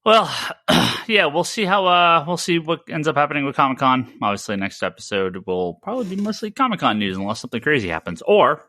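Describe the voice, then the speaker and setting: A man's voice: on the high side, sing-song, fairly quick, few pauses, pushed and bright, no room sound, studio quality. A man hosting a casual comics news podcast, talking emphatically and often repeating words for emphasis. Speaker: podcast host.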